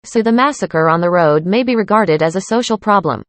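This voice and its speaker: A woman's voice: medium pitch, animated, fairly quick, no room sound. A woman reading a scripted informational passage aloud as a practice model.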